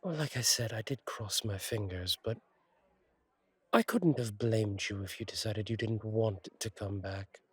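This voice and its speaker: A man playing a friendly, attentive fallen-angel bartender in an intimate roleplay audio, reassuring and chatty, with gentle wry humour and a husky voice. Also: fairly low pitch, no room sound. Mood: caring, relieved. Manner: reassuring, chatty, wryly playful, slightly formal wording. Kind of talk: intimate one-sided roleplay audio acting.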